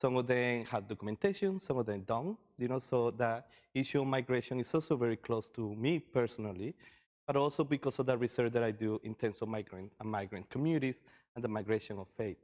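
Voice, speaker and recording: A man's voice: mid-range voice, fairly quick, a little mellow. A man from Costa Rica giving an informal presentation, speaking in a loose, somewhat hesitant way. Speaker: session presenter, migration researcher.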